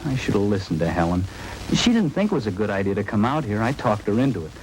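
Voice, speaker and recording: A young expectant husband in 1950s radio drama, fretful and folksy. His fairly low voice is hesitant, on an old radio recording with light noise. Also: sing-song, fast, a little mellow, poor audio. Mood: worried. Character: anxious young husband awaiting his wife's childbirth.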